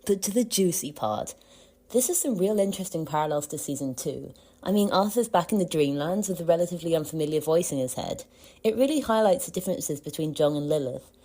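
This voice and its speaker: A woman's voice: on the low side, animated, brisk, warm tone. A woman giving casual, amused fan commentary in an informal listener voice message. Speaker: podcast listener sending voice message.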